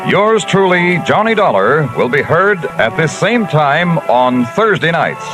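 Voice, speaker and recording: A man's voice: a little high, big pitch swings, a little slow. A man giving a network announcement in a 1950s radio broadcast, with an emphatic announcer delivery. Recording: old radio recording with loud music.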